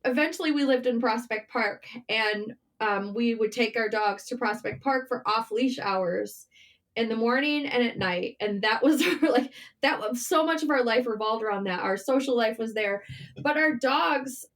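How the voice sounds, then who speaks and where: A woman's voice: a little high, moderate pace. A middle-aged American woman chatting informally as an interview guest, telling personal stories with emphatic repetition. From Michigan, United States. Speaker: podcast guest, writer. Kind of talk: conversational interview storytelling.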